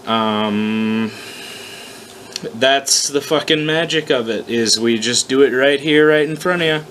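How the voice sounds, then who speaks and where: A man's voice: medium pitch, takes it slowly, fairly bright tone. A man with an ordinary mid-range voice hosts a loose, unscripted story reading. He breaks off to comment in a self-deprecating, casually profane way. The amateur recording has noticeable background noise. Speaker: host reading own fan story aloud.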